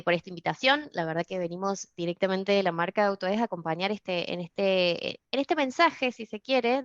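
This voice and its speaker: A woman's voice: mid-range voice, no echo, highs cut off. A woman from Argentina giving a webinar presentation, speaking with many fillers and restarts. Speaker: software company presenter.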